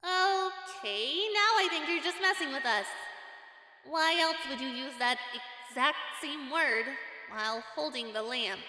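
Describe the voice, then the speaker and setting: A woman's voice: high voice, expressive, measured pace, sharp tone, heavily acted. A woman voicing a young cartoon heroine's chatty, wry inner thoughts, wary and sarcastic. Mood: wary, skeptical. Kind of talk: character voice-over commentary on cartoon clips. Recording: echoey hall reverb, music behind.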